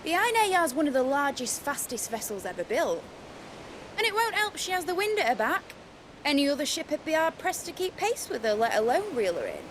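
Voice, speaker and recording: High-pitched, brash young ship engineer. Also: female voice, wildly swooping pitch, fairly quick, slight background noise. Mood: excited, boastful. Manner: salty, colloquial, boastful. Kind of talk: lively fantasy game voice acting.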